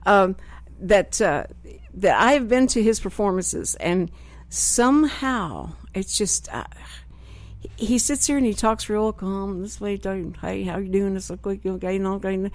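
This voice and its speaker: A woman hosting a live local radio talk show, chatting in an animated way and often cutting in. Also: medium pitch, a little slow, no room sound. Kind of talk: casual live radio chat. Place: radio studio.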